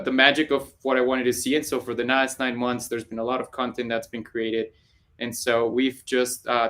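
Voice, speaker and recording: A man's voice: mid-range voice, a bit bright. A man from Colombia, a panel guest explaining his work in a conversational way over a video call, with frequent "uh" fillers. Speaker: panel guest, data scientist.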